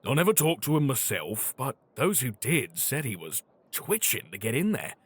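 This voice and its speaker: A man acting a streetwise, gruffly kind ex-crook in an audio drama. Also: medium pitch, big pitch swings, normal speed. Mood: knowing, gruff.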